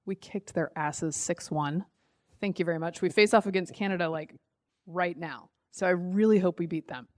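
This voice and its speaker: A woman co-hosting a podcast, telling news stories in a sarcastic, quick, animated way. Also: fairly low pitch, no echo, studio quality. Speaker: podcast co-host. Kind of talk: casual podcast chat.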